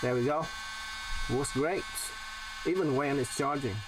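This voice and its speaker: A man's voice: mid-range voice, a little slow. Man demonstrating, distant noisy mic. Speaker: DIY tutorial host. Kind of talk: casual hands-on demonstration narration. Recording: distant mic, background noise.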